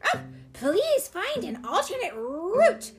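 A woman plays a heroic young male police puppy from a children's storybook. The voice is pitched high and swings boldly, with a commanding, official manner, over music. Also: huge pitch swings, takes it slowly, exaggerated voice. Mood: urgent, official.

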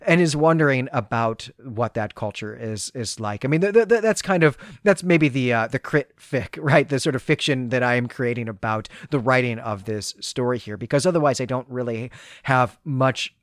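A man's voice: medium pitch, lively intonation, normal speed, dry recording, clean studio sound. A man in his forties who teaches college, talking casually about a story with many fillers and restarts. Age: 40s. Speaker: podcast co-host, college teacher. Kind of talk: casual discussion of fiction.

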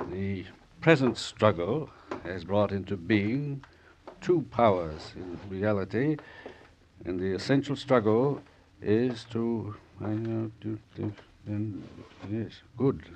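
Low-voiced, courteous intellectual exile. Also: male voice, takes it slowly, highs cut off. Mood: absorbed, murmuring. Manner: genteel, absent-minded, murmuring while reading. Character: courteous, preoccupied male intellectual revolutionary in exile. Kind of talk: 1950s radio drama acting. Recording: noisy old radio broadcast.

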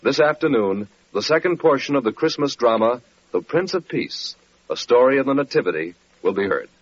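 Lively, husky American radio announcer. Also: male voice, mid-range voice, fairly quick. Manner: formal, promotional. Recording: muffled old radio transcription.

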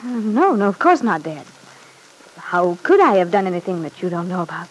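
A woman's voice: fairly low pitch, big pitch swings, normal speed, rough recording. An actress playing a wealthy, anxious young woman in a classic radio soap, nervous, pleading and hesitant as if hiding something. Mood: flustered, evasive. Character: anxious, edgy wealthy daughter with something to hide.

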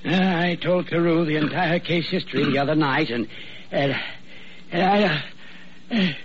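A man's voice: fairly high pitch, measured pace, a crowd in the background, natural voice. An actor playing a prickly, self-important male patient in old radio drama, curt and impatient. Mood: irritated. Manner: curt, irritable. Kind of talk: 1940s radio drama acting. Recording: muffled old radio recording, busy background sound.

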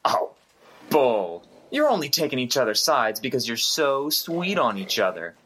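A man's voice: mid-range voice, very animated, measured pace, fairly bright tone, breathy edge, exaggerated voice. An actor in an audio drama playing a brash, teasing young male drummer who blusters in a folksy, needling way.

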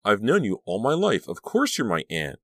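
A man's voice: low voice, huge pitch swings, brisk, no echo, studio quality. A man voicing a frightened, desperate teenage girl in a storybook, defiant and disbelieving, shrieking and demanding. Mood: panicked, disbelieving.

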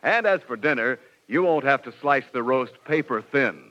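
A man's voice: mid-range voice, animated, normal speed, fairly bright tone. A man with an American accent announcing and reading sponsor copy in 1940s radio style. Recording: muffled 1940s radio recording with music.

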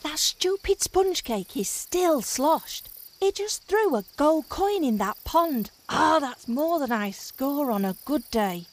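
A woman acts out a sharp-tongued female elf in a fantasy comedy audio drama. The voice is high and very expressive, blunt, impatient and sardonic. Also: slow pace. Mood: hushed, impatient.

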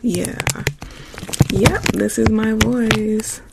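A young woman with a naturally quiet, soft voice chatting casually with viewers on an ASMR livestream, close to a taped microphone. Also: fairly high pitch, fairly even intonation, drawn-out words, creaky. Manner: chatty, answers viewer comments, trails into whispers. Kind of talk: casual live-stream chat with viewers. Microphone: close mic with tape on it. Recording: faint rustling noise from taped mic.

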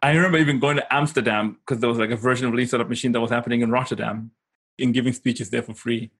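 A man's voice: medium pitch, steady tone, fast talker, a bit bright, breathy edge. A man from Zimbabwe, a guest telling personal stories in casual, emphatic conversation and often checking in with his listener. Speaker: interview guest, consultant and coach.

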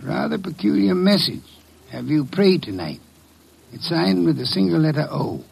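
An actor playing an erudite, imperious private detective in an old-time radio drama, speaking formally and precisely with dry wit. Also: male voice, fairly high pitch, big pitch swings, moderate pace, vocal fry, darkish tone, husky, heavily acted. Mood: measured, musing. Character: erudite, imperious male private detective, unhurried and dryly witty.

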